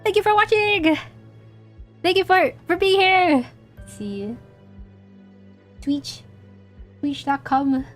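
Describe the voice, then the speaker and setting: A woman streaming a game, her voice high but going hoarse after a long session. She chatters, giggles and makes crude jokes. Soft music runs in the background. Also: slow pace, pauses often. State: hoarse, voice tiring. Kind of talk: casual gaming stream chat.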